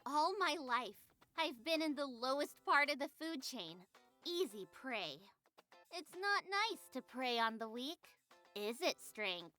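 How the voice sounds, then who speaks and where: High, intense magical schoolgirl. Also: lively intonation, takes it slowly, bright, forceful tone, soft background music, exaggerated voice. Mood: vengeful, resolute. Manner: intense, self-righteous. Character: defiant, vengeful middle-school magical girl. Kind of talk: voiced visual novel acting.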